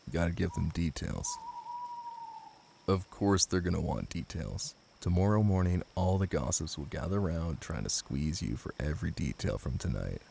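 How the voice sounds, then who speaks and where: A man's voice: vocal fry, a little mellow, a little hiss or hum. A man playing a brooding, possessive young mafia heir and new husband in an intimate roleplay audio drama, blunt and commanding yet protective. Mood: firm. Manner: blunt, commanding, swearing, low-key intimate delivery.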